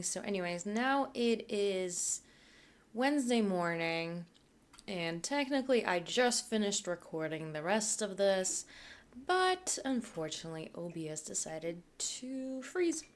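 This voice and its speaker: A young woman from Poland, chatting casually as a YouTuber while she builds in a game, rambling and thinking aloud. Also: mid-range voice.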